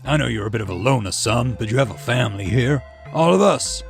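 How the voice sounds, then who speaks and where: A man performs a fatherly colonist in a visual novel. He is stern and protective, speaking emphatically with animated pitch as he admonishes. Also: fairly quick, gravelly, soft background music.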